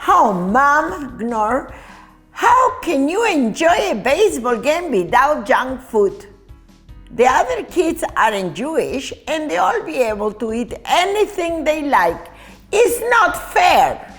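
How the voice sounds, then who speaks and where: A woman's voice: sits high, big pitch swings, slow, fairly bright tone, faint music behind, heavily acted. A woman voicing a put-upon, whiny young boy in a storybook. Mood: whiny protest. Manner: whiny, grumbling.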